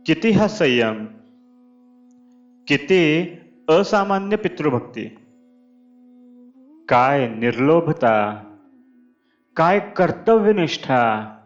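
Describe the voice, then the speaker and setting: A man reads a scripted spiritual discourse aloud in measured, deliberate phrases. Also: medium pitch, lo-fi sound. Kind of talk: scripted devotional discourse reading. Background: clear background music.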